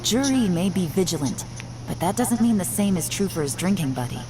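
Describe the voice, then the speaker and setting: An actress playing a cool, commanding female secret agent in a video game dub, measured and authoritative as she directs a covert operation, over game music. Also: lowish voice, very animated, noisy background. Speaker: covert intelligence agent leading a surveillance operation. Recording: game soundtrack music underneath.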